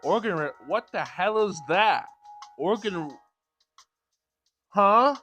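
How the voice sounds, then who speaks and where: A man's voice: sits high, expressive, slow pace, bright, forceful tone, faint music behind. A man giving casual gameplay commentary and reading game dialogue aloud, with blunt, sarcastic asides.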